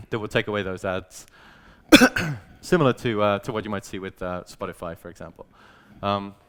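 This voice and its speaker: Smooth, low-voiced man giving an informal talk. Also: a bit bright. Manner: casual, explanatory, frequent "like". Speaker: conference speaker, growth manager. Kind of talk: informal business presentation to an audience.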